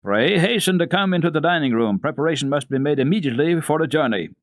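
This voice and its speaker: An indignant gentleman of means, acted out by a male storybook reader, pitched well up and exclaiming with emphasis. He commands like the master of a great house, agitated and insistent, in a dry recording. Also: animated, quick pace, no echo. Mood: urgent. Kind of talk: dramatic storybook reading.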